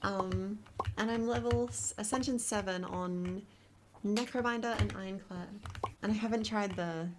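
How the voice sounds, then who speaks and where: A woman's voice: medium pitch, fairly even intonation, moderate pace, background noise. A woman with an Australian accent, chatting casually as a streamer, laughing often and rambling with fillers. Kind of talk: casual chat.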